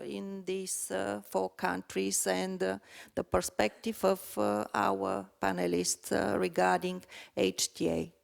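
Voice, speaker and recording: A woman from Bulgaria, moderating a conference session in a hesitant way with many pauses. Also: medium pitch, creaky, clear, resonant tone.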